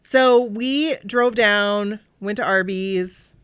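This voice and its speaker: A woman's voice: mid-range voice, takes it slowly, fairly bright tone, clear, resonant tone, no echo, clean studio sound. A woman co-hosting a podcast in casual chat. She is a little sniffly and talks in an anxious, rambling way with many fillers. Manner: chatty, anxious storyteller, lots of "like" fillers. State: sniffly, slightly congested. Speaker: podcast co-host. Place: indoor room, downstairs, air-conditioned. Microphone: studio microphone.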